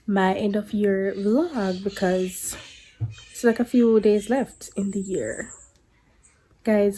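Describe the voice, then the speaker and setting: Young woman, stuffy congested voice, casual vlog chat, rambling, middle pitch. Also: darkish tone. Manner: chatty, stops and restarts, rambling. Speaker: vlogger.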